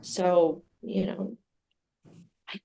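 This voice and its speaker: A woman whose first language is Serbian, answering interview questions in a measured way with many fillers. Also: lowish voice, level tone, gravelly, dark tone. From Midwest US. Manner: measured, many fillers, speaks of herself personally. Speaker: communications director, interview guest.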